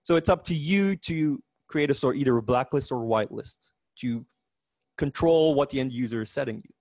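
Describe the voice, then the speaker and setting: A man in his forties with a Dutch accent, a native Dutch speaker, giving a technical meetup talk in a lively, joking way with frequent restarts. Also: mid-range voice, fairly quick, no room sound. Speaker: conference speaker. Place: meetup room.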